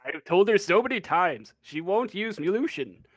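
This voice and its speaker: A man's voice: sits high, animated, normal speed, no echo, voice pushed up. A man voicing an indignant living teddy bear in a tabletop game, insistent and aggrieved about his proper name.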